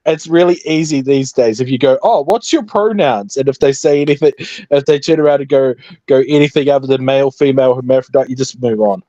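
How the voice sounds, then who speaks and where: Male stream guest, late 20s, dark mellow tone, self-deprecating banter, close dry sound. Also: medium pitch, a little fast, no room sound, highs cut off. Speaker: livestream guest. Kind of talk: casual chat.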